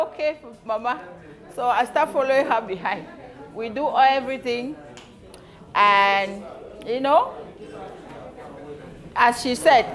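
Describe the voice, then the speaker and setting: A middle-aged woman giving a birthday toast at a party, telling a story and laughing often. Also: sits high. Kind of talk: birthday speech. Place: party hall. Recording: loud crowd at a party.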